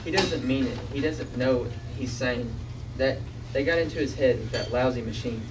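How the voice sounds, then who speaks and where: A man's voice: mid-range voice, moderate pace, natural voice. A man reading an earnest, anxious boy in a space serial table read, urgent and pleading. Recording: noisy room, distant mic.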